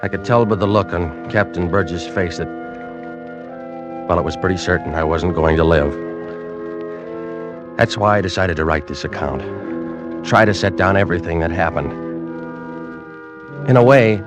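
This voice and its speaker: An actor playing a weary, injured ship's officer who narrates his ordeal gravely in a classic radio drama. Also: low-pitched, normal speed, pauses often, natural voice. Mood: grave dread. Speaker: actor, first-person narrator. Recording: vintage radio drama with music.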